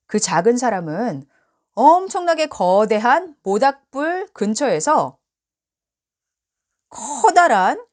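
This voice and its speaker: A woman who is a native Korean speaker reads a children's tale aloud in two languages. Her delivery is expressive and deliberate, with swooping intonation and a very slow overall pace. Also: a little high. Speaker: storybook reader and translator. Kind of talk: bilingual children's story read-aloud.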